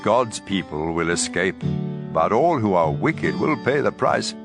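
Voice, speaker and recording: A man's voice: low-pitched, sing-song, a little slow, gravelly. A man reading scripture as dramatized audio Bible narration. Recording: music bed under voice.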